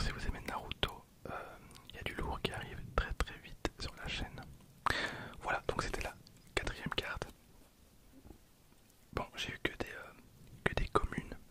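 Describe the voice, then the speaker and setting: A man in his twenties whispering softly as an ASMR host, hesitant and often repeating his words. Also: normal speed, long pauses. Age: 20s. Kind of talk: whispered ASMR card-opening commentary.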